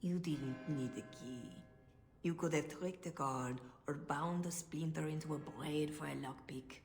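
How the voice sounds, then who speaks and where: An actress playing a calm, knowing middle-aged female cleric in a dramatic video game, measured and gentle, at times hushed. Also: low-pitched. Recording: echoey room, faint music behind.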